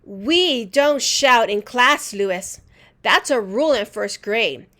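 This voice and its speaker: Woman playing a high, kindly first-grade teacher. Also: expressive, takes it slowly, pushed and bright, heavily acted. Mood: gently firm. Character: kind but firm female first-grade teacher.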